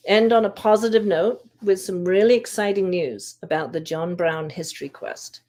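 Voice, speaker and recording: A woman giving a prepared history presentation in a measured way, with deliberate pauses. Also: lowish voice, vocal fry, mellow. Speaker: volunteer history investigator presenting research.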